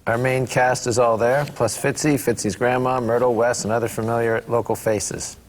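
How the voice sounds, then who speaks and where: A man's voice: mid-range voice, little pitch movement, normal speed. Man narrating in a reverberant hall. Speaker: script narrator. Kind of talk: reading stage directions at table read. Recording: long hall reverb, faint room noise.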